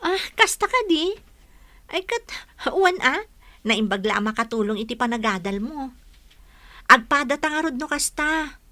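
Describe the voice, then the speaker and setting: Woman acting a bright, high-voiced young student. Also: animated, normal speed, pauses often, no room sound. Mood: friendly, curious. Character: friendly, chatty young female student working part-time. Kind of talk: radio drama acting.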